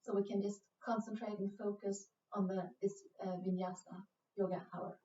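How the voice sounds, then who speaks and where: A woman from Sweden with a Swedish accent, a native Swedish speaker, teaching a yoga class in English, speaking slowly and patiently with frequent hesitations. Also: mid-range voice, little pitch movement, mellow, roomy sound, far from the mic, dull audio, slight background noise. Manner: slow, patient, with many hesitations. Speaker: yoga instructor. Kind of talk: guided yoga class instruction.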